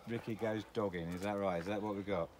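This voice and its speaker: An older man from England telling deadpan tall tales while chatting with a friend by a lake. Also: on the low side, fast talker, slight background noise. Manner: deadpan, spins made-up facts. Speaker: friend of the vlogger. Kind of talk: casual banter. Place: outdoors by a lake. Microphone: camera a few metres off.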